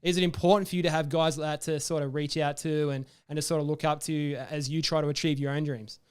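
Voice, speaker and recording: This is an Australian man hosting a relaxed sports podcast. He talks fast and his voice is clean and smooth. His questions run long and friendly, padded out with fillers. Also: a little high. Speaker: podcast host. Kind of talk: casual interview.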